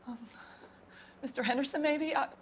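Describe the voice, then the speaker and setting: A woman's voice: sits high, fairly even intonation, normal speed, a little hiss or hum, close to an everyday voice. A woman playing a nervous housekeeper questioned by police in a video game, talking hesitantly and stammering. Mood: anxious. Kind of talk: video game interrogation scene.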